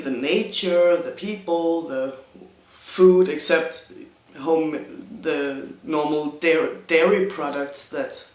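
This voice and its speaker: Hesitant Danish man, echoey room. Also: a little high. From Denmark. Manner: hesitant, many ums and restarts, self-conscious on camera. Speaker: YouTuber answering viewer questions. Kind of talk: casual Q&A vlog. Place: room in front of a green screen.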